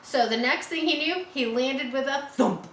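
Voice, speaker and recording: Lady doing storytime for kids, bright voice, very expressive, bit of room noise. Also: high voice, moderate pace. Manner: expressive, explains and comments as to schoolchildren. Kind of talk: animated read-aloud of a children's story. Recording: roomy echo, faint background noise.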